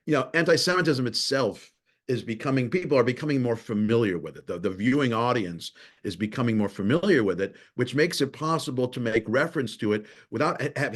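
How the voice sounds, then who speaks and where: A male professor giving a lecture in a thoughtful way, often repeating and restarting words. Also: medium pitch.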